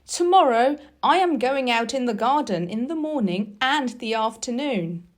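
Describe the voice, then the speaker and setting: A woman's voice: on the high side, expressive, unhurried. A woman voicing an imperious, earnest young boy in a storybook, declaring things emphatically. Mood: defiant.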